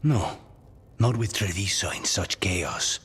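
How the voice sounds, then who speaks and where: Low-voiced, weary assassin leader. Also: male voice, expressive, slow pace, fairly bright tone, slightly rough, full character voice. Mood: weary, resolute. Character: weary, loyal male assassin leader, dryly resigned. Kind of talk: video game voice acting.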